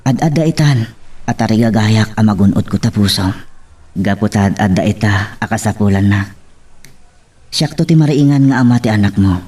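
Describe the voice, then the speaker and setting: A man's voice: lowish voice, normal speed, darkish tone, natural voice. Man acting an earnest young suitor, slightly distant. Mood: earnest pleading. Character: earnest, devoted young village suitor, male. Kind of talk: romantic audio drama acting.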